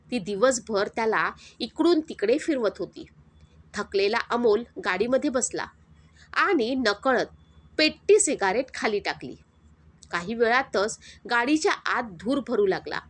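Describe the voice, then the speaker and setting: A woman narrating a moral story in a steady, dramatic storytelling manner. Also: on the high side.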